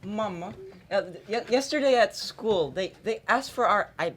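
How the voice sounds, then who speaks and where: A woman acting an excitable young grandchild in an amateur stage play. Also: mid-range voice, measured pace, slight background noise, natural voice. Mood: timid.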